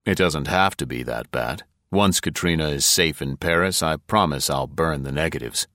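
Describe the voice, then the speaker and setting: A male narrator playing a tough, cool-headed American agent who speaks low and deliberately. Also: fairly bright tone, no echo, studio quality.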